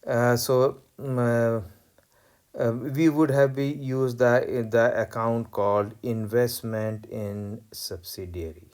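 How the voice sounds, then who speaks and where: A man lecturing on accounting, explaining worked exercises step by step in a deliberate way and repeating key points. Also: mid-range voice, steady tone, a little mellow, clean tone. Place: voice-over recorded over tablet screen.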